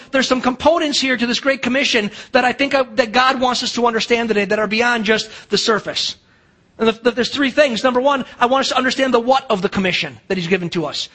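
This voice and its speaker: A man's voice: very high voice, pushed and bright. A middle-aged man with an American accent preaching a sermon as a pastor, emphatic, posing rhetorical questions and acting out dialogue. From United States.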